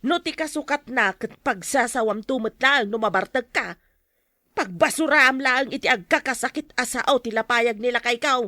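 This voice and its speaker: A woman plays a stern woman turning away a drunkard in an Ilocano drama. Her voice is bright, sharp and fairly high, with an Ilocano accent. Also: moderate pace, dry recording, studio quality. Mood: stern, scolding. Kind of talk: Ilocano radio-style drama acting.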